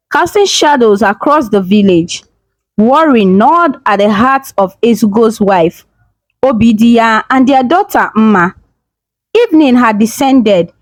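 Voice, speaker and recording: A woman narrates a dramatic story in a fairly high voice with very animated, swooping intonation. She sounds solemn and suspenseful, like a storyteller reading from a script. The sound is dry and close. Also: dry recording, poor audio.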